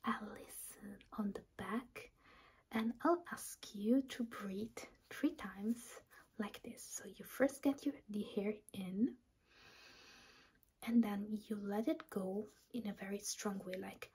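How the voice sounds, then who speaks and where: Slow, whispering woman in ASMR doctor roleplay. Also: mid-range voice, fairly even intonation. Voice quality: breathy whisper, mostly unvoiced. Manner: gentle, reassuring, unhurried explaining. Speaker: ASMR performer playing a doctor. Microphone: close ASMR microphone.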